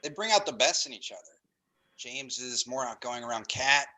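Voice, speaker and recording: A man's voice: mid-range voice, animated, normal speed, bright, forceful tone, rough recording. A man playing an earnest groomsman in his thirties, giving interview-style lines in a comedy table read.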